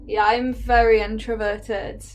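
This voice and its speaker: A woman's voice: on the high side, level tone, a little slow, a little mellow, faint music behind. Shy English woman, slight Welsh lilt. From England. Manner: hesitant, shy and self-conscious. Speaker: live streamer painting on camera. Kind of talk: casual chat while painting.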